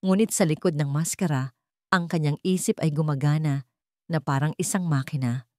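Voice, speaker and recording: A woman's voice: low voice, steady tone, normal speed, a little mellow, dry recording, clean studio sound. A woman narrator reading a dramatic scripted love story. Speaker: narrator of Tagalog love stories.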